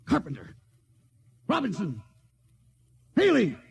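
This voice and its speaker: An actor playing an embattled spaceship captain, urgent and dramatic, in a 1950s radio drama. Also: male voice, sits high, huge pitch swings, takes it slowly, creaky, breathy edge, heavily acted. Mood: desperate. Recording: noisy vintage radio recording.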